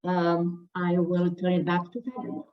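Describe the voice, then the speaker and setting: A woman from Romania, a staff member telling her story at an online panel, halting with many fillers and restarts. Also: fairly low pitch, distant mic, lo-fi sound.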